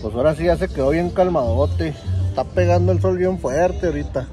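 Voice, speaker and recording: A man's voice: on the high side, brisk, music in the background. A man, chatting casually to viewers from his stall at an outdoor flea market, easygoing and friendly. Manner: easygoing, addresses viewers as "mi gente". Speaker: vlogger selling at a flea market. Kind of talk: casual vlog chat.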